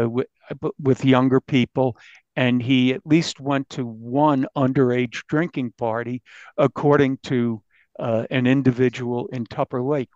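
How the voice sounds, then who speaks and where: A man speaking as an investigative journalist in a podcast interview, recounting findings with halting false starts. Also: medium pitch, a little mellow, dry recording, dull audio.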